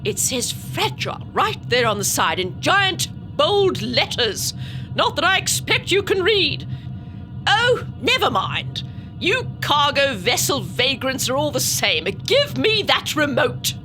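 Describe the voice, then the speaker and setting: An imperious, exasperated space-station cargo officer. Her high voice leaps dramatically up and down as she scolds with withering theatricality. Music plays underneath. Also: big pitch swings, takes it slowly, full character voice. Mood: outraged scolding. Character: haughty, exasperated female cargo manifest officer. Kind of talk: sci-fi audio drama acting. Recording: music behind the voice.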